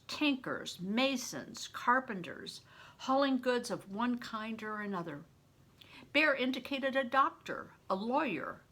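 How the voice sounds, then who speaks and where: Older woman reading aloud, slightly husky, bright. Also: medium pitch. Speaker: storybook reader, grandmother. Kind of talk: read-aloud audiobook narration with plain asides.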